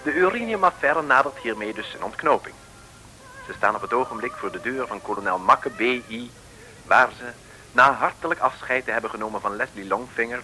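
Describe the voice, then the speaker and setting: A man's voice: on the low side, pushed and bright, poor audio. A man narrating an old radio serial from a script, in a lightly wry storyteller's style. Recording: old radio recording with music behind. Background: music.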